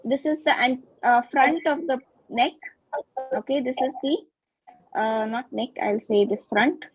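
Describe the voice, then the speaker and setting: High-voiced woman lecturing over a video call. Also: unhurried, slightly rough, soft background music. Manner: patient, checks understanding with frequent "okay" and questions. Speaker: guest lecturer in phonetics.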